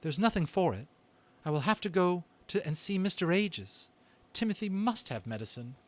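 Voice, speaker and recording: A man's voice: high-pitched, very animated, normal speed, pitched above the performer's own voice. A man voicing a caring, worried mother mouse with a tender, earnest manner in a storybook reading. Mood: grave worry.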